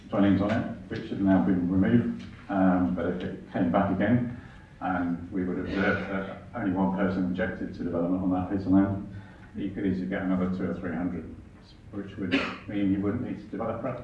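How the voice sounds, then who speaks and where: A man with a very low voice chairs a meeting, speaking in a measured, deliberate way. He is heard from afar in a hall with heavy echo. A faint hiss sits under the muffled sound. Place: large echoey meeting hall. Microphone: far from the microphone. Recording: echoey hall, distant, dull, faint hiss.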